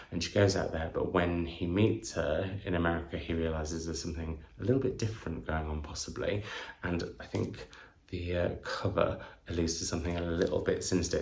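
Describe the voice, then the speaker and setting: A man's voice: deep voice, vocal fry, a little mellow, a little hiss or hum. A man chatting to camera in a book vlog, effusive and full of wandering asides and questions to himself. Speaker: book vlogger, channel host. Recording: roomy indoor echo.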